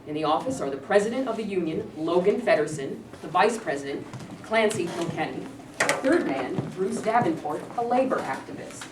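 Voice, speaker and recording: A woman's voice: lowish voice, expressive, normal speed. A woman reading stage directions as scripted narration for a play. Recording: echoey room, distant mic, clear noise.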